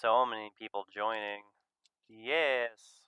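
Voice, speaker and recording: A man's voice: on the low side, slow pace, sharp tone, clear, resonant tone, no echo. A man hosting a casual livestream, chatting loosely and playfully, sometimes murmuring in singsong to himself. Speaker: livestream host of a visual novel reading. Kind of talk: casual livestream chat while waiting to start.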